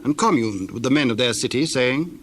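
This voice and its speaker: A man narrating scripture from a script in a formal, measured storytelling manner. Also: medium pitch, animated, a little hiss or hum.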